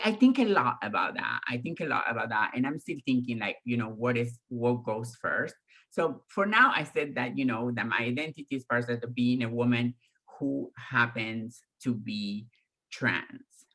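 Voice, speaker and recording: A middle-aged woman from Argentina with an Argentine Spanish accent, giving a candid, profane talk to an online audience over a video call, with many fillers and pauses. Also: a bass voice, a bit bright. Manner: mocks others in raised voices when quoting them. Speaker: guest speaker.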